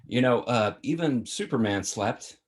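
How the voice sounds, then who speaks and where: Male actor as sixty-something sitcom father, lively intonation, needling, table read, video call sound. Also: medium pitch, measured pace, close to an everyday voice. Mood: teasing, nagging. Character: sitcom dad in his sixties, youthful, needling his son. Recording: video call, somewhat distant mic.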